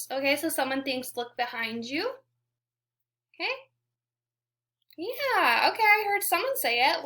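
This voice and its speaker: A woman's voice: high-pitched, sharp tone. A woman teacher reading a picture book aloud to young children, asking questions and giving frequent encouraging praise. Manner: encouraging, prompting, repeats praise to listeners.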